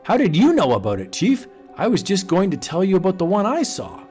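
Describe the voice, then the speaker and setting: A man's voice: sits high, huge pitch swings, fairly quick, darkish tone, faint music behind, pitched above the performer's own voice. A man voicing a brash young male police detective in an old cartoon, breezy and wisecracking. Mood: puzzled.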